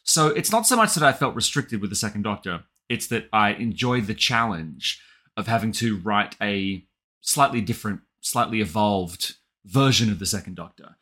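An Australian man speaks as an interview guest, thoughtful and articulate, like a natural storyteller. His voice sits a little low but has a very bright, crisp edge. He explains things conversationally and with animation. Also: animated, normal speed. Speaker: guest author and audio drama writer.

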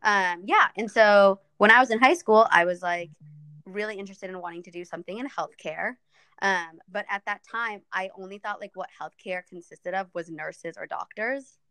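A woman's voice: medium pitch, moderate pace, bright, forceful tone, clear, resonant tone, no room sound, low-quality recording. A young woman from Ethiopia, a podcast guest chatting casually and thoughtfully, with frequent fillers.

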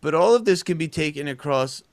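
A man's voice: on the high side, lively intonation, fairly quick, no echo. Chatty man with a dry, close sound. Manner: rambling, chatty, many fillers. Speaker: podcast co-host. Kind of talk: casual chat.

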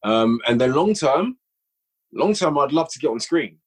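Husky-voiced man talking shop. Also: a little high, expressive, moderate pace. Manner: chatty, explains at length with restarts. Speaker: guest audio drama writer and producer. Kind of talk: casual podcast conversation.

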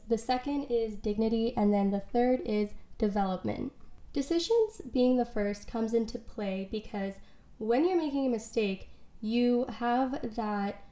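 Woman podcast host, earnest and explaining, a bit higher pitched, mellow tone, echoey room. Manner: earnest, explanatory, quotes and examples. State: recovering from lost voice. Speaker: podcast host, life coach. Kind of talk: solo podcast talk.

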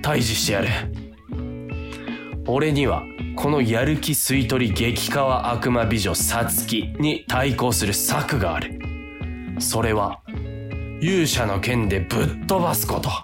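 An actor playing a weary, easily tempted office worker who narrates a comic radio drama with grumbling, self-deprecating drama. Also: male voice, mid-range voice, animated, measured pace, over music, natural voice. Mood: flustered resolve.

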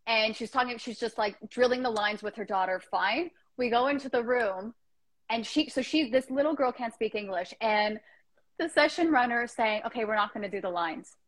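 A woman's voice: a little high, moderate pace, fairly bright tone. A woman hosting a casual live-stream chat, rambling and joking about herself. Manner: chatty, rambling, self-deprecating jokes.

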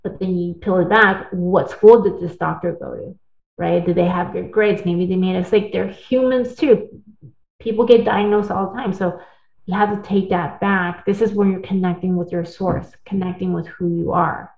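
Woman guest, a little low, earnest storyteller; echoey, phone-thin line. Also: steady tone, normal speed, gravelly, darkish tone, dull audio. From born in the United States, partly raised in Taiwan. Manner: earnest, storytelling, with restarts and tag questions. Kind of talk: casual interview talk.

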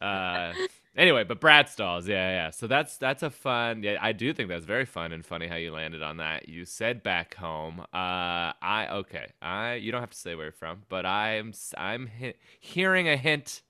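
A man's voice: low-pitched, lively intonation, normal speed, sharp tone, smooth, no room sound, clean studio sound. A man hosting a podcast interview, chatting casually with lots of fillers and restarts. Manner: rambling, many fillers and restarts. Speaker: podcast host.

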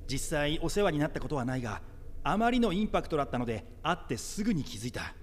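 A man's voice: fairly high pitch, sing-song, fast talker. A man playing a panicky younger brother in an audio drama, agonizing in an agitated, stammering inner monologue. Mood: bewildered. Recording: long reverberant echo.

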